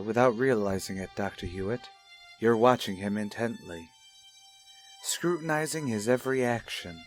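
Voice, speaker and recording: Man acting a measured, gently probing radio doctor. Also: fairly low pitch, lightly acted. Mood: quiet, musing. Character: kindly, probing male child psychologist, a father. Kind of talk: mid-century radio soap opera acting. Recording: vintage radio recording with music.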